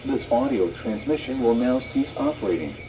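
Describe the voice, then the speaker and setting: A man's voice: medium pitch, expressive, fairly quick, background noise. Tinny synthetic male weather radio announcer. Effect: weather radio speaker. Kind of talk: scripted emergency alert announcement.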